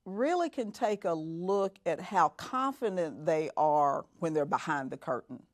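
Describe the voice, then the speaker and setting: Middle-aged lady mentoring, slow steady talk, repeats herself for emphasis, dry close sound. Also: deep voice, dry recording. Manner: measured, repeats phrases for emphasis. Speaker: mentor and podcast guest. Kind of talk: reflective interview talk.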